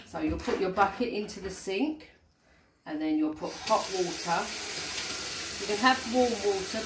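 Woman, English accent, middle-aged, patient step-by-step teaching, echoey kitchen, noisy phone audio. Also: mid-range voice. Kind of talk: instructional home demonstration. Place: home kitchen and hallway, indoors. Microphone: handheld phone filming.

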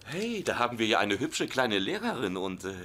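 An actor playing a playful, easygoing young husband in a dubbed sitcom, with a jokey manner. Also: male voice, medium pitch, normal speed, a little hiss or hum. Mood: cheerful. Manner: jokey, excitable.

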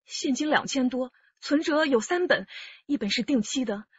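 一位女演员在广播剧中扮演精明的年轻上海妻子，也是劫案受害者，声音带气声。. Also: female voice, high voice, animated, moderate pace, husky, dry recording, dull audio. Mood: shaken. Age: young adult. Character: shrewd young Shanghai wife, robbery victim. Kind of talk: radio drama acting.

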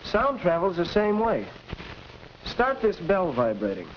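A man's voice: sits high, wildly swooping pitch, a little slow, muffled recording, heavily acted. An actor playing a patient young American man who explains science didactically in a 1940s instructional film. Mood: patient, instructive. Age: young adult. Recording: noisy 1940s film soundtrack.

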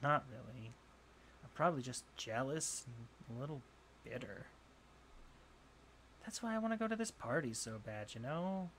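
A man's voice: mid-range voice, lively intonation, a bit bright, slight background noise, natural voice. A young man playing a jealous, insecure college bully in a roleplay audio drama, scoffing, sighing and defensive. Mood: bitter, confiding. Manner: scoffing, sighing, swearing, halting when flustered.

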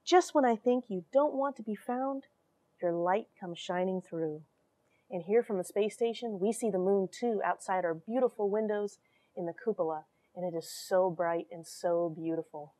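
Woman in her 40s doing kids story time, expressive, asks the little listeners questions, small metal room. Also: medium pitch, moderate pace. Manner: animated, expressive, addresses young listeners with questions. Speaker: astronaut reading a children's story. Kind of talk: storytelling and explaining for children. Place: small cramped metal space station airlock.

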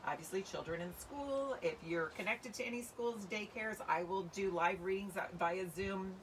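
Woman, 40s, children's author on a casual livestream, mid-pitched, recorded outdoors a little far from the mic with noticeable background noise. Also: moderate pace. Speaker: children's book author and reader. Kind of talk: storybook reading and casual livestream chat. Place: covered outdoor patio by trees. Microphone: phone or camera a few feet away.

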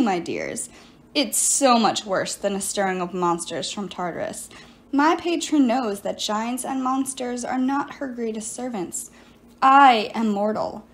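A woman voicing a scheming, vengeful ancient sorceress in an audiobook, theatrical and coaxing. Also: high voice. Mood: menacing. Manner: coaxing, self-pitying, theatrical. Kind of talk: audiobook character voice.

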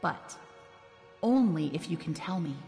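Guarded game warrior ally, firm, wry, lowish voice, big reverb, light music. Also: female voice, animated, measured pace, vocal fry, darkish tone. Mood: calm, wry. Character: guarded, level-headed woman warrior ally. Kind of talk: video game cutscene acting. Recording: long reverb, faint music behind.

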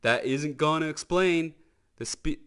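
A man's voice: on the high side, unhurried, a bit bright, clear, resonant tone, pitched above the performer's own voice. A man voicing a friendly, folksy tow-truck deputy from a children's storybook, eager and earnest. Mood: earnest, instructive.

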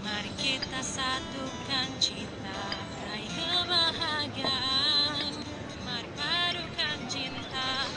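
A woman singing a slow pop ballad with long sustained lines. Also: high-pitched. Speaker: singer. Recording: song with loud music backing.

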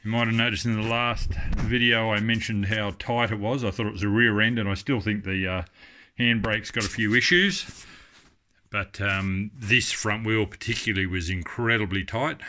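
A middle-aged man talking casually through a car restoration in his home garage, thinking aloud with many pauses and ums. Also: fairly low pitch, steady tone, sharp tone, a little hiss or hum. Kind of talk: casual workshop commentary. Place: home garage workshop, indoors.

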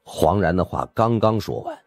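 A man narrates a suspense audiobook in an extremely low voice. His delivery is dramatic, with wide swings of intonation. Soft music runs behind him. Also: expressive, normal speed.

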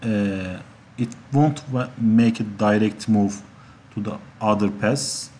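Guy teaching software step by step, slow n halting, some background noise and keyboard clicks. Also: on the low side. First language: Turkish. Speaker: instructor. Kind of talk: software tutorial narration.

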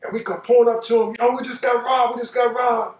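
An older American man with a high voice, spinning a tale in loose, colloquial speech. He repeats phrases to hammer them home. He sounds set back from the microphone in a roomy space. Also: level tone, fast talker, distant mic, slight background noise. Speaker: storyteller. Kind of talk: animated personal storytelling, casual. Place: living room couch, indoors.

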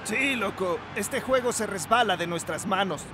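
A man's voice: sits high, animated, normal speed, background noise. A man voicing a young cartoon footballer who talks in a dramatic, showy presenter style. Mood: grave. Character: young male footballer hosting his own flashy show. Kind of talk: cartoon acting, show-host delivery. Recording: loud music under the voice.

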